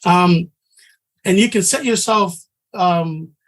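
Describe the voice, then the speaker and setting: A man's voice: high-pitched, slow pace. A middle-aged man from Samoa, teaching a trading class in an earnest, conversational way and often restarting his sentences. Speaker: trading instructor.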